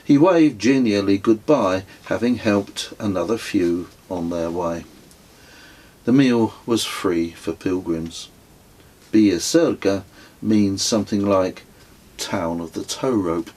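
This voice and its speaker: A middle-aged man narrates a travel memoir he wrote himself. His voice is low and husky, and he reads very slowly, phrase by phrase. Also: a little mellow, rough recording. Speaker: author reading own book.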